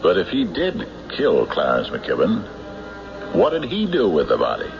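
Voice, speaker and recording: A man playing a tough, skeptical sheriff in an old radio drama, measured, dry and probing, on a muffled recording. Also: low voice, huge pitch swings, gravelly, over music, heavily acted. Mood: brooding narration. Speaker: sheriff narrating his own investigation. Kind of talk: old-time radio drama acting and narration.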